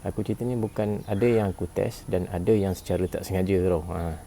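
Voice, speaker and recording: A man's voice: low-pitched, fairly even intonation, fast, dark tone, slight background noise. A Malaysian man giving a casual, informal product review, often repeating himself and tagging phrases with "eh". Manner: informal, repeats himself, frequent "eh" tags and throat clearing. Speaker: gadget reviewer.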